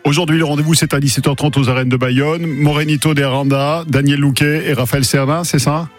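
A man's voice: on the high side, steady tone, brisk, faint music behind. A man presenting a morning radio show, announcing what's coming up and handing over to a reporter. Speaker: radio host.

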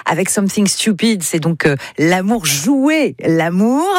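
A woman's voice: lowish voice, very animated, a little slow, no echo, studio quality. A woman hosting a casual music radio show, asking her guests playful, enthusiastic questions. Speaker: radio host.

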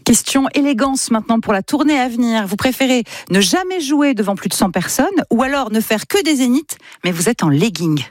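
A woman's voice: fairly high pitch, animated, brisk, few pauses, dry recording. Woman interviewer, dry close sound. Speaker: radio or show host interviewing a guest. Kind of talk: conversational interview.

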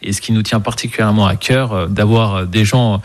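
A man's voice: fairly low pitch, steady tone, brisk. A man, a market gardener, answering interview questions earnestly and a little hesitantly.

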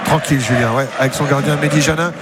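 A man with an Auvergne accent giving lively, chatty radio commentary on a football match, with jokey asides between the play calls. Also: on the high side, fast, very noisy.

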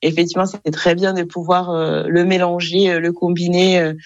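A young woman from Rwanda, guest on a radio interview, who speaks hesitantly with many fillers and soft laughs. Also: on the low side, level tone, fairly quick, no room sound, highs cut off.

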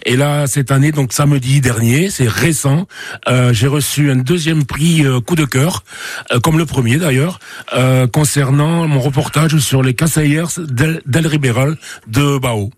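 A man in his sixties from northern France, a guest telling his story in a halting radio interview full of fillers and restarts. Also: mid-range voice, breathy edge, no echo. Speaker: interview guest, photographer and retired municipal policeman. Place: radio studio.

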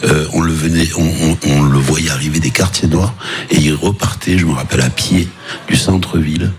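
A man talks casually in a live interview. He is halting and often repeats words. Music plays faintly behind him outdoors. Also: rumbling low, steady tone. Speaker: DJ and music teacher, interview guest.

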